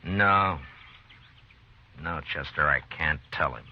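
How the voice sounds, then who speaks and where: An actor playing a calm, shrewd and grave frontier marshal in an old-time Western radio drama. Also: male voice, extremely low pitch, slow pace, full character voice. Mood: grave, thoughtful. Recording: dull old radio recording.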